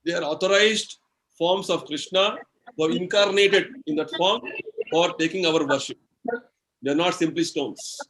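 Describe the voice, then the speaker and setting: A man with an Indian English accent explaining spiritual ideas in a devotional lecture, slow and explanatory, using everyday examples and many "you know"s. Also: fairly high pitch, a bit bright, low-quality recording. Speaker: spiritual speaker answering questions.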